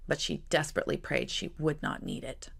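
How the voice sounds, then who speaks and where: Low-pitched, creaky woman storyteller. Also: a little fast, fairly bright tone. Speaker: author reading own novel aloud. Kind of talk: expressive scripted audiobook narration.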